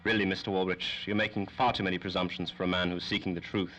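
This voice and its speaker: A man as a deep-voiced, fussy radio-serial scientist. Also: flat delivery, fast, fairly bright tone, lo-fi sound. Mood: proud, indignant. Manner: formal, wordy, pedantic. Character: fussy, self-important male scientist doctor. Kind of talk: 1930s old-time radio drama acting.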